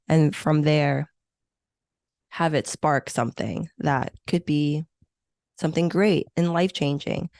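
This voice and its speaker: Low-pitched woman guest, upbeat and reflective, lots of um and like, dead dry sound. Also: vocal fry, a little mellow, dry recording. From born in Hong Kong, grew up in the U.S. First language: Chinese or Vietnamese. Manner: enthusiastic, reflective, uses many fillers. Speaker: senior meeting planner, podcast guest. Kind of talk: conversational interview.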